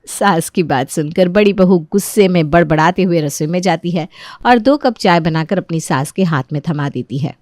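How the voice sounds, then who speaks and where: A woman's voice: on the low side, moderate pace, darkish tone, no echo, clean studio sound. Female narrator of a family tale. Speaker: story narrator.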